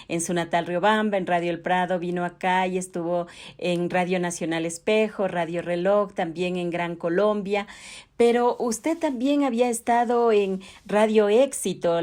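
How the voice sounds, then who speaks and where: A woman with an Ecuadorian accent interviewing a guest in a measured, formal, courteous way. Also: on the low side, a little mellow. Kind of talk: interview questions.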